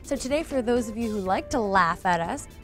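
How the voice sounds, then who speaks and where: A woman's voice: medium pitch, expressive, brisk, fairly bright tone. A woman in her twenties co-hosting a cooking show, playful and teasing, talking outdoors in a garden. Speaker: co-host. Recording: faint music behind.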